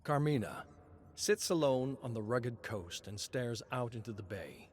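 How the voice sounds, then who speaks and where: A man reading scripted narration as a storyteller, solemn and measured, with dramatic pauses. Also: mid-range voice, lively intonation, darkish tone, slight background noise.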